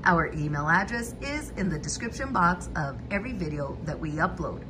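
Woman listing coupon deals, lively pitch. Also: normal speed, over music, rough recording. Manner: methodical, lists prices and coupons step by step. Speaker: couponing channel host. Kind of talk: voice-over walkthrough of coupon deals.

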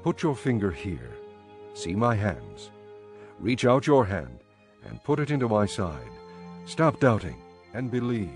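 Jesus, a man voicing a calm risen teacher. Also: on the low side, unhurried, long pauses, exaggerated voice. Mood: gentle. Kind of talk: dramatized scripture reading. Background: faint music.

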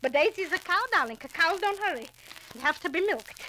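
A fussy, hurried mother in a 1940s radio play, her voice very high and bright. She coaxes and frets, repeating her words as she rushes through chores. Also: expressive, a little hiss or hum, heavily acted, low-quality recording. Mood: harried. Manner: fussy, flustered, repeats herself. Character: warm bustling middle-aged mother on a farm. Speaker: radio actress.

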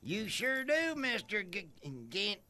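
A man voicing a dim, good-natured country tow truck in an animated game, with a slow Southern US drawl. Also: sits high, slight background noise, heavily acted. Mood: eager, friendly. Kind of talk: animated game cutscene acting.

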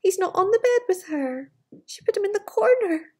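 A woman's voice: extremely high pitch, expressive, moderate pace, darkish tone. A woman around her forties telling a picture-book story to young children, with exclamations and wondering asides. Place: bright living room with large glass doors.

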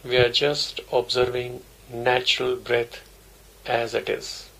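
A man's voice: medium pitch, little pitch movement, slow, sharp tone, rough recording. A man teaching a guided meditation, giving simple instructions in short, repeated phrases. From India.

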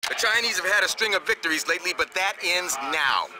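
No-nonsense army field commander, clipped game briefing, high voice, noisy background. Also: male voice, expressive, fast. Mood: commanding. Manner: clipped, authoritative. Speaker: military commander. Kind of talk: scripted mission briefing.

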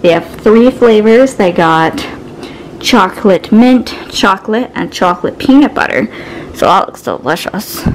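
A woman's voice: medium pitch, animated, measured pace, slight background noise, low-quality recording. A young woman from Canada in her twenties, chatting casually through a grocery haul in her home kitchen, upbeat and listing items as she goes. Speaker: vlogger. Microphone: camera mic, handheld filming.

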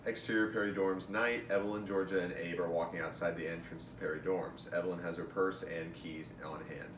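A man's voice: deep voice, steady tone, brisk. A young man reading aloud from a script in a room at home, picked up by a camera a few feet away. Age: young adult. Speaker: amateur reader of stage directions and a part. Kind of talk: script read-through. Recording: distant echoey room mic, clear noise.

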